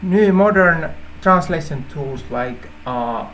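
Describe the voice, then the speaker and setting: Male presenter, native Finnish speaker with Finnish accent; unhurried, hesitant explaining, light noise behind. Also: a little high, expressive, fairly bright tone, rough recording. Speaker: app developer presenting a feature idea. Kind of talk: informal explainer to camera.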